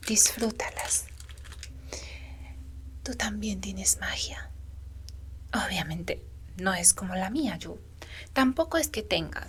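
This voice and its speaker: A woman performing a whispered ASMR roleplay as a gentle, caring faun, breathy and tender as she speaks to the listener. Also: mid-range voice, slight background noise. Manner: slow, soothing, addressing the listener tenderly. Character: gentle, caring female faun, a magical companion.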